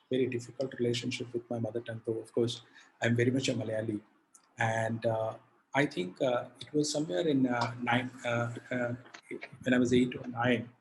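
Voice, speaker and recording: Guy on a video call, gravelly voice, lots of uhs and restarts, faint noise behind. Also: mid-range voice, low-quality recording. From India. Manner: halting, many fillers and restarts. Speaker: poet, guest. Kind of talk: conversational interview answer.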